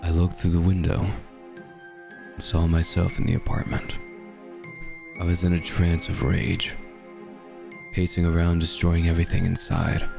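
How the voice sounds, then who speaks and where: A man reads a creepypasta in a very deep voice with a gravelly edge. His delivery is measured and dramatic, built for dread. Dark music plays clearly behind him. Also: monotone. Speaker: horror story narrator. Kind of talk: scripted creepypasta narration in the first person. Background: dark background music.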